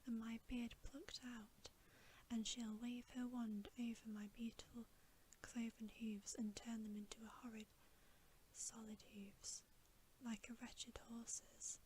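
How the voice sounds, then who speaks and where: A woman whispering the part of a timid, remorseful male faun in a storybook, hushed and politely old-fashioned. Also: a little high, flat delivery, slow, a little hiss or hum. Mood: tearful, fearful. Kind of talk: whispered ASMR storybook reading.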